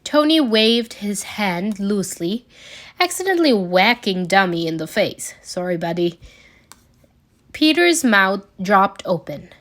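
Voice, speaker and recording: A woman narrates a written story at a slow pace in a mid-pitched voice. She reads as an amateur audiobook narrator would, now and then tripping on a word. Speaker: amateur podfic reader. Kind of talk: scripted fan fiction narration read aloud.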